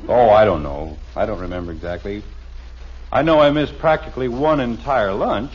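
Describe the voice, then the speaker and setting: A man's voice: fairly low pitch, moderate pace, breathy edge. An American actor playing a wry, exasperated middle-aged father in a 1950s radio sitcom, with dry, teasing sarcasm. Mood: reminiscing. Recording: muffled, noisy old radio broadcast recording.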